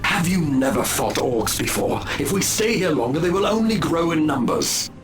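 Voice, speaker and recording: A man's voice: fairly high pitch, sing-song, normal speed. A man voicing a stern, scornful alien elf ranger in a video game, speaking formally and with superiority. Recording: loud music behind.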